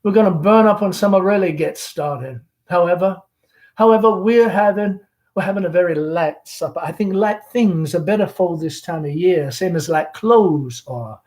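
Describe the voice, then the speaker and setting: A man's voice: high-pitched, normal speed, a little mellow, natural voice. A man voicing a chatty middle-aged Southern belle mother, genteel and fretful, with a gushing, rambling, theatrical manner, in a stage play reading. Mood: flustered, chatty.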